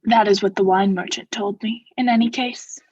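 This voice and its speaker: A young woman playing a coldly vengeful noble who feigns friendship, in a measured, formal, insinuating theatrical radio-play style. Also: mid-range voice, fairly even intonation, darkish tone, husky, no echo, dull audio, lightly acted. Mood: falsely solicitous. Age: young adult. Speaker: student actor.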